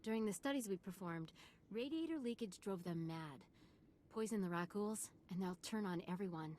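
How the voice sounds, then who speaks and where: An actress playing a frightened, pleading scientist in a sci-fi video game, explaining things earnestly. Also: female voice, medium pitch, expressive, normal speed, dark tone, a little hiss or hum, natural voice. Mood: urgent explaining.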